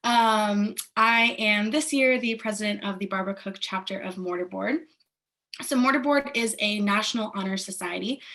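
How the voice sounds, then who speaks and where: A woman's voice: mid-range voice, moderate pace, sharp tone. Friendly young woman from Ohio. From Cincinnati, Ohio. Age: young adult. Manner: friendly, conversational. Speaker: honor society chapter president. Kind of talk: panel introduction. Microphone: video call.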